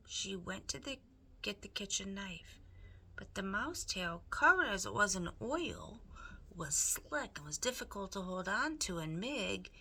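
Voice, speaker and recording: A woman's voice: mid-range voice, pushed and bright, husky. A woman reading a children's story aloud expressively, dramatically addressing the listener.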